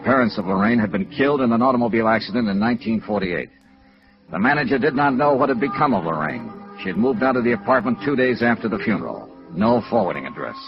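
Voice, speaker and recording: An actor playing a wry, hardboiled, middle-aged insurance investigator in a clipped, matter-of-fact 1950s radio noir style. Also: male voice, mid-range voice, lightly acted. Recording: muffled vintage radio recording with music.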